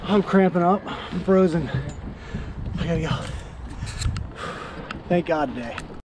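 A man's voice: sits high, unhurried, highs cut off. An American man in his forties, out of breath, shivering and shaken, talking to camera outdoors. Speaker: vlogger, boat skipper. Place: open boat on a river. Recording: clear boat and outdoor noise.

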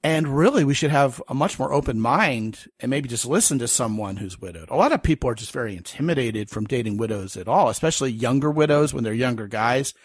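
A man's voice: medium pitch, animated, fairly quick, hardly any pauses, slightly rough, no echo, studio quality. A man hosting a podcast, telling stories and joking in a casual interview chat. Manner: chatty, storytelling, joking. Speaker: podcast host.

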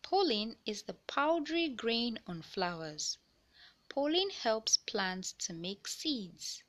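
A woman reading a children's science picture book aloud in an expressive, deliberate storyteller style for young listeners. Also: on the high side. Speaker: read-aloud narrator of children's books.

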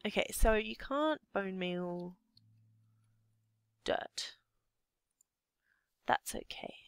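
A young Australian woman with a slightly croaky voice, half-whispering to herself as she commentates her building game. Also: mid-range voice, expressive, drawn-out words, dry recording, studio quality, soft background music. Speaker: let's play gamer. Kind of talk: casual gameplay commentary.

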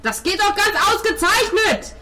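A woman voicing a loud, excitable travel guide with pompous, exclaiming speech in a tabletop role-play. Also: very high-pitched, normal speed, voice pushed up, heavily acted. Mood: excited, urgent.

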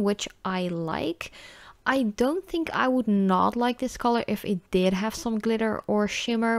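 A woman's voice: medium pitch, no room sound. A woman who is a native Dutch speaker with a Dutch accent, giving a casual product review in a chatty, informal way. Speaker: nail polish reviewer.

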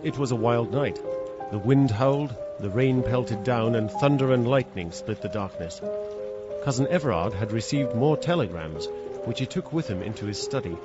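An actor playing an earnest, formal young gentleman with an English accent in a radio drama. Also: medium pitch, lively intonation, normal speed, close to an everyday voice. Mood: measured storytelling. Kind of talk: radio drama narration and dialogue. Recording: dull radio recording under loud music.

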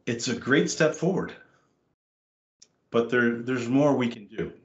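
A man presenting a technical conference session over a screen share, hesitant with many fillers and restarts, and a bit hoarse from losing his voice. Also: mid-range voice, expressive, a little slow, echoey room, lo-fi sound.

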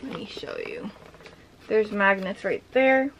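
A young woman chatting casually as she shows off items from her collection, gushing with lots of filler words and a slightly hoarse voice. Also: medium pitch, huge pitch swings, slow, slight background noise, poor audio. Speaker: vlogger showing her collection. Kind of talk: casual show-and-tell chat.

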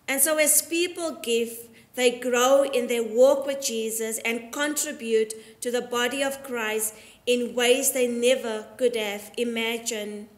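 Woman preacher, fairly high voice, measured and earnest, prepared sermon, echoey church. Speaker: Anglican priest preaching. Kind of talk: sermon, read from prepared text. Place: inside a church, at the altar.

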